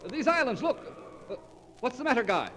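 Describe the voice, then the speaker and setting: An actor playing an anxious, polite English aerial observer with a hesitant stammer, in an old radio drama on a noisy recording. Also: male voice, extremely high pitch, sing-song, fairly quick, fairly bright tone, full character voice. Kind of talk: 1940s radio drama acting. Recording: noisy old radio transcription disc, engine drone.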